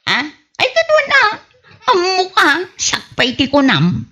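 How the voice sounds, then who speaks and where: A woman playing an excitable, bickering, scatterbrained wife in an Ilocano radio comedy, exclaiming often in an Ilocano Filipino accent. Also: high-pitched, unhurried, highs cut off, full character voice. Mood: gleeful. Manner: exclaiming, animated.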